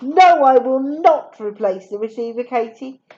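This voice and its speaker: A woman's voice: high-pitched, expressive, unhurried, warm tone. A woman playing a weary grown man who turns bossy and schoolmasterish. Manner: weary, then bossy and clipped. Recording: dull lo-fi recording.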